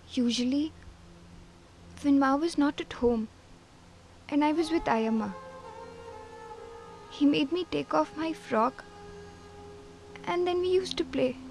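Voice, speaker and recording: A female actor playing a timid, confused little girl with an Indian accent, speaking simply and hesitantly in a film. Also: high-pitched. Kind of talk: dramatic monologue in a short film.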